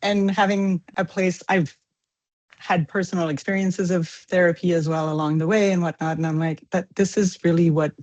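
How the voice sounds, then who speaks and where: A woman's voice: lowish voice, steady tone, normal speed, darkish tone. A woman from Quebec introducing herself casually over a video call, with loose phrasing and fillers. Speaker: counseling student. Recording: dull lo-fi video call audio.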